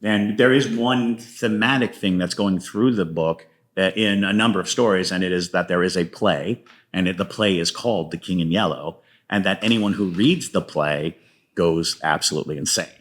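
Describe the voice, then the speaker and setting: A man's voice: low voice, normal speed, fairly bright tone. A man talking casually as a guest playwright in an interview, telling stories and slipping into quoted lines. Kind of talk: casual interview talk about own writing.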